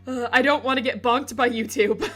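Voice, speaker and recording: A woman streamer with a slightly hoarse voice, chatting casually and giggling as she talks to her chat. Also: on the high side, steady tone, normal speed, sharp tone, soft background music.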